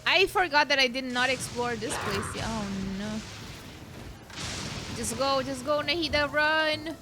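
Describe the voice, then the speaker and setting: High-pitched Brazilian woman streaming a game. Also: a little slow. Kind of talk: casual let's-play commentary. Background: video game music.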